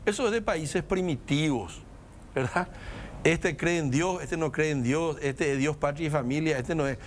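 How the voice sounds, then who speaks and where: A man speaking Spanish as a non-native speaker, a guest giving measured, lecturing political commentary in a talk show. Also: on the high side, slight background noise. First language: not Spanish. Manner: measured, lecturing, emphatic lists. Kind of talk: political discussion.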